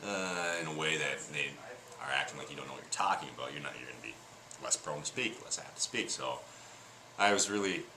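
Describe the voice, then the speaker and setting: A man, a teacher, answering interview questions casually with a deep voice, rambling a little with fillers. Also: fairly quick, noisy background.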